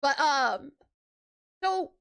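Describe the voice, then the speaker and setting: High-voiced chatty young woman streamer. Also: expressive, very slow, vocal fry, a bit bright. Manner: chatty, rambling, laughs often, heavy filler words. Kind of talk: casual live-stream chat.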